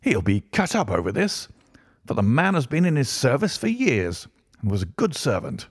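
Man playing a bluff, lively country colonel. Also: mid-range voice, normal speed, slightly rough, dry recording, studio quality, voice pushed up. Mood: coolly concerned. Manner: bluff, old-fashioned upper-class phrasing. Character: hearty, unflappable English country colonel, gentleman host.

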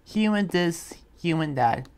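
A person improvising a relaxed demon slayer in a game roleplay, with a hesitant, halting delivery. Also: medium pitch, clear, resonant tone. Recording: music behind the voice.

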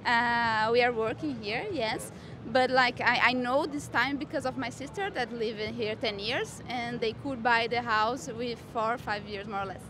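A woman's voice: a little high, moderate pace, hardly any pauses, background noise. A woman answering a street interview while searching for words in simple phrases, outdoors on a busy street. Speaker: passerby.

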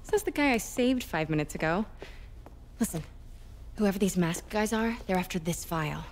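A woman playing a determined, quick-witted young American newspaper reporter in a video game, conversational and wry. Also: lowish voice, expressive, close to an everyday voice. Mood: urgent, wry. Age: young adult. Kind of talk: naturalistic cinematic video game acting.

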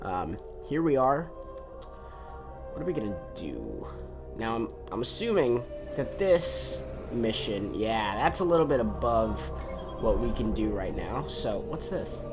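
A man's voice: lowish voice, very animated, measured pace, over music. A young man with a cold and a sore voice, rambling casually while commentating gameplay. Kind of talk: let's play commentary. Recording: voice over loud game audio.

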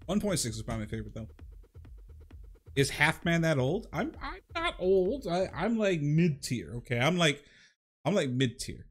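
A man's voice: on the high side, big pitch swings, normal speed, slightly rough, faint music behind. A man in his thirties chatting casually to viewers as he plays a game, rambling and repeating himself in an informal way. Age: 30s. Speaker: video game livestream host.

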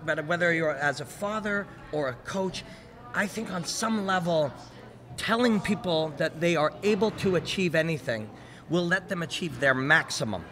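A middle-aged host with a bright, forceful tone and a slightly high voice. He speaks into a desk mic in a hotel room, nodding along with many short replies and summing up his guest's points. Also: moderate pace. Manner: engaged, frequent backchannels, sums up guest's points. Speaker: podcast host. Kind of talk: casual interview. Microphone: desk mic on stand.

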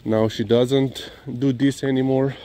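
Slow, halting Slovenian-accented man, outdoors. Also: mid-range voice, flat delivery, mellow. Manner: hesitant, halting delivery with frequent "you know". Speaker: dog owner and vlogger. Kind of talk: casual walk-and-talk vlog, rambling. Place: outdoors, on a walk. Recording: clearly noisy outdoor ambience, vehicle sounds.

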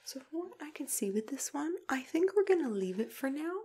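A young woman doing an ASMR roleplay as a caring dentist, mostly whispering in a gentle, reassuring way, close to the microphone. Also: sits high, big pitch swings, fairly quick. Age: young adult. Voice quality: breathy, hushed, mostly whispered. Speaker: ASMR creator playing a dentist.

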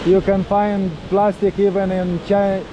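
A middle-aged man with a fairly high voice chats in as he cycles, throwing in dry jokes. He sounds like he is on an action camera mic on the bike. Heavy street traffic runs under him the whole time. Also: completely flat delivery, a little slow, lo-fi sound. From Moldova. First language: Romanian. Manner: chatty, dry humor, laughs at own remarks. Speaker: ride organizer and videographer. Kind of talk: casual chat while cycling. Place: outdoors on a city street, riding a bike in traffic. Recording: loud street traffic behind.

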